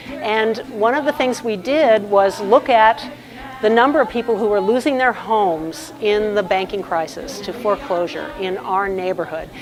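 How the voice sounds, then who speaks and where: An older woman protest organizer explaining the action in a clear, explanatory way. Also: medium pitch. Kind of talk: explanatory interview.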